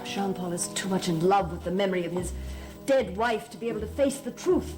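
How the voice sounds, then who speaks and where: A woman's voice: medium pitch, fairly quick, music in the background, lightly acted. An actress playing a fearful, accusing mother in a gothic soap opera, fretful and melodramatic. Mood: agitated, accusing.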